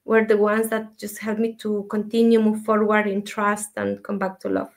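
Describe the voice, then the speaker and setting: A woman in her thirties from Ecuador, who shares her story as an interview guest in a soft, reflective way, pausing often with hesitations. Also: mid-range voice, completely flat delivery. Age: 30s. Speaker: guest, dream mentor.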